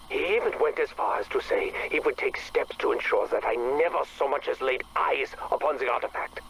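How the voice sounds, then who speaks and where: Casting: obsessive, bitter scientist for game audio logs; formal, theatrical diction, breathy and brooding, slightly noisy recording. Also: male voice, a little high, a bit bright, exaggerated voice. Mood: bitter, indignant. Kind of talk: dramatic monologue for a game audio log.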